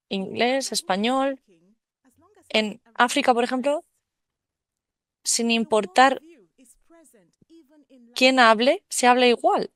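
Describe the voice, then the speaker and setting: A woman relays a speaker's conference talk live in an even, fluent stream. Her voice sits a little high and sounds close and dry, with no room around it. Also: no room sound. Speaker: simultaneous interpreter.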